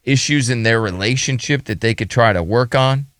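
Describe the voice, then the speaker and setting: A man's voice: mid-range voice, moderate pace, fairly bright tone, no echo. A male radio host in casual studio chat, earnestly giving relationship advice and asking probing questions.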